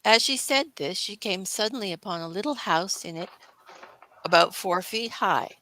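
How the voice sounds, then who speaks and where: A woman's voice: mid-range voice, expressive, normal speed, sharp tone, slight background noise, poor audio. A woman reading scripted storybook narration as the narrator of a classic tale.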